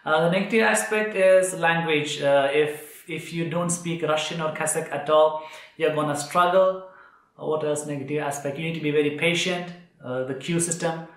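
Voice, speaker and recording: A man's voice: fairly high pitch, steady tone, moderate pace, fairly bright tone. A man in his thirties from India, with an Indian accent, talking to the camera in a kitchen with many fillers and restarts. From India, Kerala. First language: Malayalam. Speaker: vlogger. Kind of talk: casual talk to camera. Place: indoors, small kitchen room. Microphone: camera at a short distance. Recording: echoey room.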